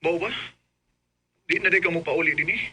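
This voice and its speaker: An actor playing an affectionate, wistful young provincial boyfriend with a Cebuano Filipino accent in a radio drama. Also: male voice, a little high, moderate pace, sharp tone, husky, faint music behind, exaggerated voice. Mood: disappointed.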